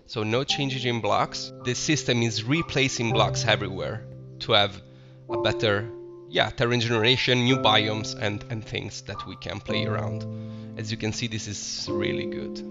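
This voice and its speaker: A man's voice: lowish voice, steady tone, normal speed. A young adult man from Italy with an Italian accent, chatting casually and enthusiastically as he narrates his Minecraft gameplay, often restarting his phrases. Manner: chatty, self-correcting, enthusiastic about the game. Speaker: Minecraft let's play host. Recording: slightly dull lo-fi audio with music behind.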